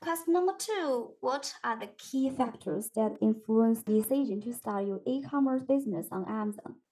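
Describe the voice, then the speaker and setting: A woman's voice: on the high side, moderate pace, warm tone, rough recording. A woman hosting a podcast, reading scripted introductions and questions in a formal, presentational way. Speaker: podcast host.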